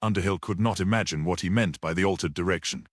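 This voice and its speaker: A man's voice: deep voice, quick pace, no room sound, studio quality. A man narrating a mystery story as a scripted, dramatic audiobook reading.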